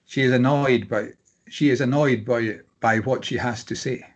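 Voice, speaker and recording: Husky man reading stage directions. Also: medium pitch, steady tone, moderate pace. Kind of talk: flat scripted narration of screenplay directions.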